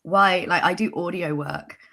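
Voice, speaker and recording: A woman with a husky voice, hoarse from flu, chatting casually and wryly as a guest. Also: lowish voice, fairly even intonation, measured pace, bright, forceful tone. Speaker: audio drama creator and guest. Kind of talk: casual chat.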